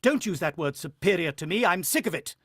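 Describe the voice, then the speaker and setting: A man's voice: sits high, expressive, fairly quick, a bit bright, dry recording, clean studio sound. An actor playing a proud, short-tempered, condescending husband who also narrates his ordeal in a radio drama. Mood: defensive, angry. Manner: condescending, irritable. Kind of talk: radio drama acting with first-person narration.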